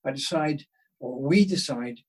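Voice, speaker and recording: A man with a husky voice chatting casually in an interview about his work, often interrupting himself with asides. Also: fairly high pitch. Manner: halting, rambling with self-interruptions and asides. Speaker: guest, novelist.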